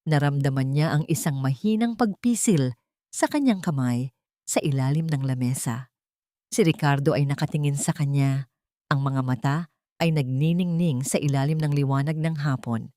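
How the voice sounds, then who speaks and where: A woman's voice: low voice, moderate pace, warm tone, no room sound, studio quality. A woman with a Filipino accent narrating a dramatic story from a script. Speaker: audiobook narrator.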